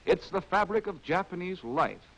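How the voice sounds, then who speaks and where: A man's voice: sits high, lively intonation, normal speed, creaky, a bit bright, poor audio. An American man narrating a scripted 1940s training film in a wry, arch, theatrical way, on a vintage film soundtrack.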